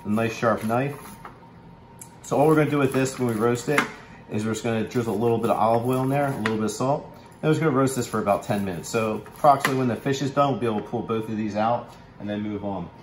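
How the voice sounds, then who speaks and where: A man in his thirties giving a relaxed, instructive cooking demo in a home kitchen, with plenty of ums and uhs. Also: medium pitch, quick pace, background noise. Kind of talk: casual cooking demonstration. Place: large home kitchen, indoors. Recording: echoey kitchen room sound.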